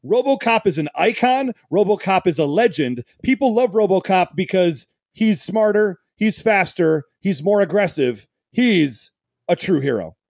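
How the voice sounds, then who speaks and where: A high-pitched American man with a husky voice and a very animated delivery. He banters as a podcast co-host, bombastic and emphatic, building points through repetition. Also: unhurried, no room sound, clean studio sound. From Detroit area, Michigan, US. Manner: emphatic, bombastic, repeats phrases for effect. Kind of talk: comic debate banter.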